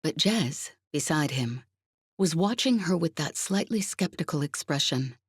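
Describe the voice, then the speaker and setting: A woman's voice: deep voice, animated, unhurried, husky, dry recording, clean studio sound. A woman reading a scripted novel aloud as an audiobook narrator.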